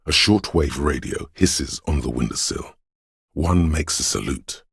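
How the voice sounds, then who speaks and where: An extremely deep, raspy voice of an omniscient city spirit in a video game. It narrates with solemn, ominous poetry, measured and brooding. The recording is close and dry. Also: male voice, fairly bright tone, no room sound, exaggerated voice. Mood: somber, watchful. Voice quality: very deep, gravelly, husky. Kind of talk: slow, poetic game narration in the second person.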